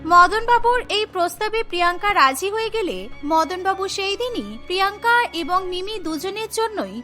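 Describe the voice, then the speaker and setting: A woman's voice: very high-pitched, lively intonation, normal speed, sharp tone, faint music behind, exaggerated voice. A woman narrating a cartoon story in a dramatic, scripted storytelling style.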